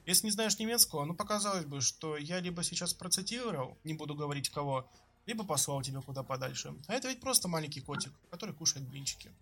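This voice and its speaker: Young male co-host, higher pitch, brisk and wry. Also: lively intonation, a bit bright. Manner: quick, playful, lightly ironic. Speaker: podcast co-host. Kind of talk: casual podcast chat.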